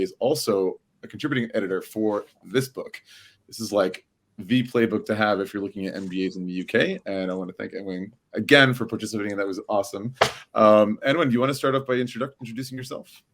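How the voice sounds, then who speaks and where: A man hosting an online panel discussion in a relaxed, chatty way, with some fillers, on a video call. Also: fairly low pitch. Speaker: podcast host. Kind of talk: casual panel moderation.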